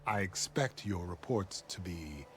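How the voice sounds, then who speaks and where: An actor playing a cold, imperious military commander in a video game, measured and contemptuous, over game music. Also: male voice, sits low, expressive. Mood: coolly commanding. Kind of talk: video game cutscene acting.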